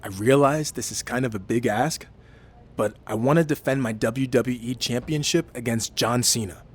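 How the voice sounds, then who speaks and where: An actor playing an ambitious, determined champion wrestler in scripted game dialogue, earnest and persistent. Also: male voice, medium pitch, lightly acted.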